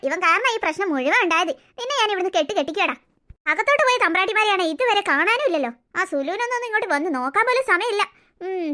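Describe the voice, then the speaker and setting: A sly old grandmother sighs and mutters complaints under her breath in a home skit, as a woman puts her on. Her voice stays high, bright and quick, and she sweet-talks the children while plotting against their mother. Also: exaggerated voice. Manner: sighing, complaining, muttering to herself. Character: grumbling scheming old grandmother. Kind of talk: one-person family skit.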